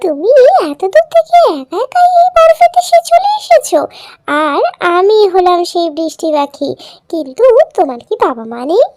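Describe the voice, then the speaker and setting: A woman's voice: extremely high pitch, very animated, normal speed, a little mellow, dry recording, clean studio sound, pitched above the performer's own voice. A woman voicing a kind, wise, comforting bird in a children's cartoon. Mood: curious.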